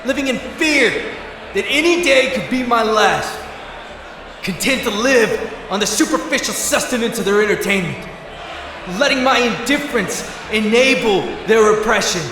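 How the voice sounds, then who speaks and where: An earnest rebel hero addressing the masses in an audio drama. The high voice rings with heavy echo over clear background noise, impassioned and declamatory. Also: male voice, animated, moderate pace. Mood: solemn defiance. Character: earnest heroic male rebel, former slave rallying the people. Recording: heavily echoing broadcast with noise.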